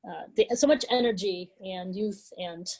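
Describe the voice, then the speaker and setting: A woman hosting an event on a video call, chatting casually and a little haltingly. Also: medium pitch, vocal fry, a little mellow. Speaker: event host and organizer working with children. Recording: noisy video-call audio with clicks.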